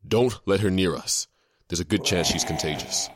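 An actor playing a callous, businesslike doctor in an audio drama, speaking curtly with a husky voice. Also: male voice, deep voice, normal speed, background noise, close to an everyday voice. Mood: cool detachment. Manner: curt, matter-of-fact. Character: callous, businesslike male doctor working with mercenaries.

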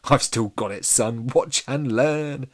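A man's voice: lowish voice, normal speed, close to an everyday voice. Man playing a gloating, mischievous old ghost dad. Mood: gleeful, gloating. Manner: gloating, excitable, rough ungrammatical speech. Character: mischievous boastful 950-year-old male poltergeist dad.

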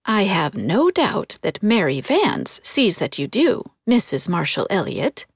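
A woman's voice: mid-range voice, big pitch swings, unhurried, husky, no room sound, clean studio sound, heavily acted. A woman voicing a prim, touchy older housekeeper in a storybook, speaking in a formal, pointed way. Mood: disapproving.

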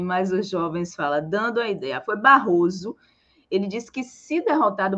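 Female news co-host, Bahian Brazilian accent, medium pitch, informal live delivery, somewhat dull recording. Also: a bit bright.

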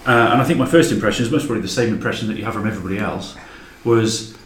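A man's voice: fairly low pitch, rapid-fire, slight background noise. A man chatting casually as an interview guest about his design work. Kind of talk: casual podcast chat. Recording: roomy echo.

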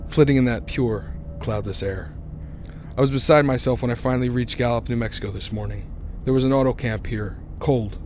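Radio drama lead man acting: haunted traveler, frightened, stammering, slowly unraveling, faint noise on the recording. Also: medium pitch, darkish tone, close to an everyday voice. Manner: stammering, anxious. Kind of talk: radio drama first-person narration and acting. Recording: noisy radio drama recording, vehicle ambience.

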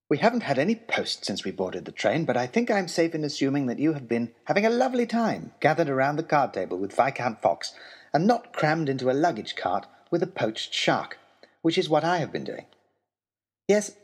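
An actor playing an eager, long-suffering young gentleman adventurer, reading his letters aloud in an earnest, wry, genteel way in a comic period audio drama. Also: on the high side, husky. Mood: courteous, wry. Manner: expressive, earnest, wryly self-deprecating, genteel.

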